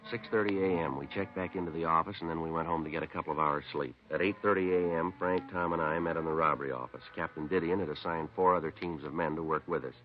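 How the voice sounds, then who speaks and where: Polite, no-nonsense American detective, rapid clipped delivery, dull vintage radio sound. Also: male voice, very deep voice, music in the background. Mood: businesslike. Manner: terse, flat, matter-of-fact. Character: stoic, polite, businesslike male police detective. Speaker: narrator and lead actor. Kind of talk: 1950s radio police drama, clipped narration. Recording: dull 1950s radio broadcast recording.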